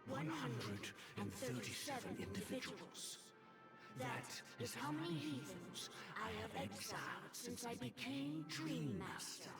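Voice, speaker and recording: A menacing, ancient master of dreams makes slow, ominous pronouncements with dramatic swoops in pitch. The theatrical game delivery is grand and formal, soaked in echo, with loud music behind it. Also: female voice, big pitch swings, distant mic. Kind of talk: theatrical video game voice acting. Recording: echoing, processed game audio under loud music.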